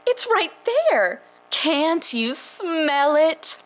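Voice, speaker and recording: A woman's voice: high-pitched, very slow, faint music behind, heavily acted. A woman playing a chirpy, oblivious, fussing housewife mother in a stylized horror drama, drawing out her words sweetly. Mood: eerily cheerful. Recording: steady background noise and hum.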